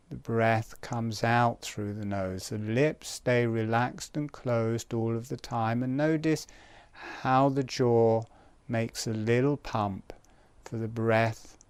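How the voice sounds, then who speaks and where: A man leads a guided breathing exercise as an instructor. His voice is smooth and clear, at a middle pitch. He speaks very slowly and gently, leaving space between short, calm phrases. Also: no room sound.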